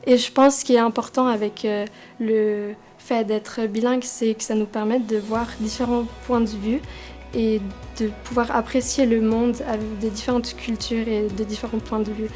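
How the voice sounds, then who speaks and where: Even-toned teenage girl student. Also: fairly high pitch, normal speed. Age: teens. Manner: hesitant, with fillers. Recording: music bed underneath.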